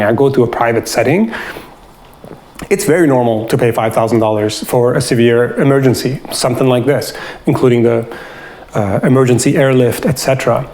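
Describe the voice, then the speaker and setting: A man's voice: mid-range voice, darkish tone. A man talking in a conversational, instructive way, explaining practical advice as the host of an informal video. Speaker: host, doctor giving travel health advice. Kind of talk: explanatory advice talk with acted-out example dialogue.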